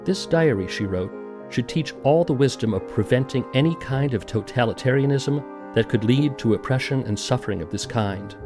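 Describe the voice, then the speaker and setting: A man's voice: mid-range voice, normal speed. A man hosting a podcast, reading scripted documentary narration. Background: music.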